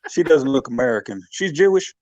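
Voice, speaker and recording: A man's voice: medium pitch, expressive, a little fast, a little mellow, dry recording, dull audio, natural voice. A man playing a profane, pompous caller on a comedy radio bit, speaking. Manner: drawling, profane, pompous ranting.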